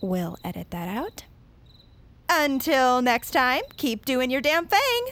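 A young woman with an American accent playing an excitable, geeky, sarcastic teenage superfan in an audio drama. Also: sits high, slow, slight background noise, full character voice. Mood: perky, self-assured.

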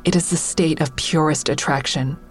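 An actress plays a sardonic woman comedian in an audio drama, with a low-pitched voice. She is guarded and defensive, narrating her private thoughts in a wry, confessional way. Faint music runs underneath. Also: fairly even intonation, moderate pace, close to an everyday voice. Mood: wistful, unsure. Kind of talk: full-cast audio drama acting with first-person narration.

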